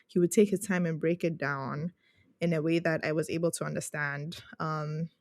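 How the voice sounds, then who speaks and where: A woman from Ghana with a fairly low voice, talking as an interview guest. She answers conversationally and reflectively, thinking aloud as she goes, in a very dry recording. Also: fairly even intonation, moderate pace, a little mellow, dry recording. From Ghana, grew up in Accra. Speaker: podcast guest, user experience researcher.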